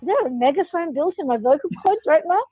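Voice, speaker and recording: A woman's voice: sits high, lively intonation, quick pace, no echo, narrow, tinny audio. A woman who is a podcast guest, telling her personal story candidly and forthrightly in an interview.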